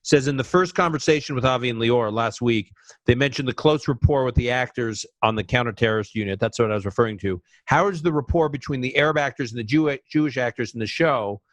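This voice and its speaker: A male interviewer with an ordinary mid-range voice runs a live conversation. He moves briskly, eager to jump into the next question. The sound is dry and close, with no room around him. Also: mid-range voice, no echo, rough recording. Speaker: interviewer and host.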